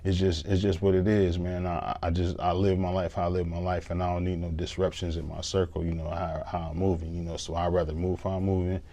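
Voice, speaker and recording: Deep flat-voiced man giving life advice. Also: brisk, hardly any pauses. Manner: rambling, repeats "you know what I'm saying". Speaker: show host reacting to a post. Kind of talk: motivational monologue. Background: music.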